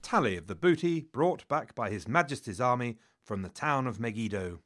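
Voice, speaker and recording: A man's voice: mid-range voice, lively intonation, moderate pace, a bit bright, natural voice. A man playing an Egyptian royal scribe, formally reciting in a dramatic reading. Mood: proud, formal.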